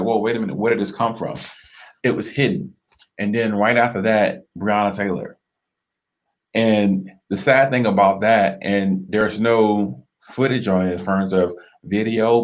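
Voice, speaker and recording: A man with a very deep voice co-hosts a marriage discussion, thoughtful and measured. He speaks earnestly and drops in steady murmurs of agreement. The sound is muffled and lo-fi. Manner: measured, reflective, frequent affirming murmurs. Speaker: co-host of a marriage talk show.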